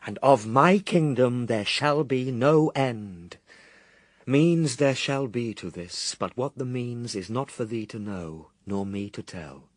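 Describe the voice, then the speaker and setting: An actor playing Jesus as a stern, steadfast Son of God in a verse radio drama, solemn, declamatory and disdainful. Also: male voice, medium pitch, expressive, takes it slowly, dry recording. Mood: scornful, resolute.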